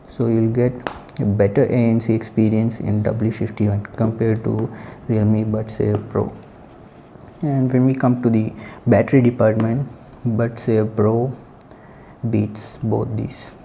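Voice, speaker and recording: Flat, dark-voiced man reviewing gadgets. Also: on the low side, measured pace, creaky, slight background noise. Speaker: tech reviewer. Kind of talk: casual spoken product review.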